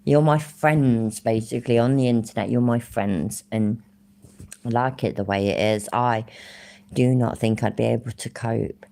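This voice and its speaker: A woman's voice: very deep voice, measured pace, creaky, dark tone. A woman with an English Midlands accent, chatting casually to her live-stream audience; she rambles, swears freely and laughs often.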